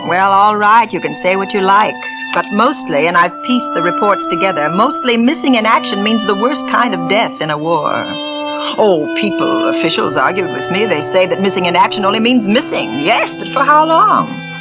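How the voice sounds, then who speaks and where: An actress playing a persuasive spiritualist medium who narrates, intimate and theatrical, sometimes dropping to a whisper, in 1940s radio acting. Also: female voice, mid-range voice, animated, moderate pace, loud music behind the voice, natural voice. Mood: brooding.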